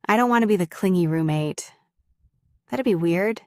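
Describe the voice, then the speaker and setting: A woman playing a lovesick, jealous young roommate in an intimate ASMR roleplay, speaking haltingly and confessionally, straight to the listener. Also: on the low side, animated, darkish tone, no room sound, clean studio sound, lightly acted. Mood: hurt, probing.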